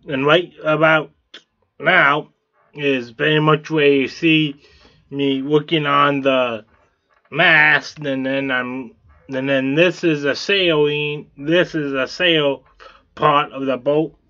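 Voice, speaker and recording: Man host, slow bright delivery, plain and repetitive, dull lo-fi recording. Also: a little high. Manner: plain, repetitive, with fillers and restarts. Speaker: t-shirt artist, channel host. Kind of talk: narrated drawing tutorial.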